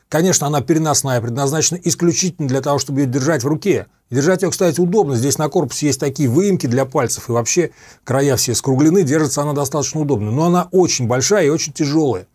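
A middle-aged man with a slightly husky voice, methodically explaining equipment in a review to camera. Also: on the high side, lively intonation, fast talker, a little mellow. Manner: methodical, explanatory, repeats points for clarity. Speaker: host of a radio equipment review channel. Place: small dim home office with computer monitors.

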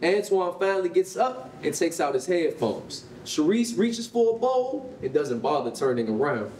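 A man's voice: fairly high pitch, sing-song, normal speed, darkish tone. A young man giving scripted storybook narration at a live staged reading. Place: indoor rehearsal hall, standing at music stand. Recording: echoey hall, distant mic, faint noise.

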